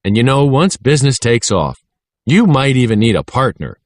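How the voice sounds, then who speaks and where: A man's voice: mid-range voice, very animated, moderate pace, no room sound, clean studio sound, lightly acted. A man voicing an eager, talkative schoolboy, the playful best friend in a children's story. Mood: excited.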